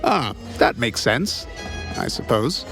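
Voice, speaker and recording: A man's voice: mid-range voice, huge pitch swings, gravelly, fairly bright tone, heavily acted. Wry, awkward private eye played by a man, music behind. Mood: wry, probing. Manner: halting, wry, bantering. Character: awkward, wry male private eye, ex-cop, self-deprecating. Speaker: voice actor in an audio drama. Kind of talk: noir comedy audio drama acting.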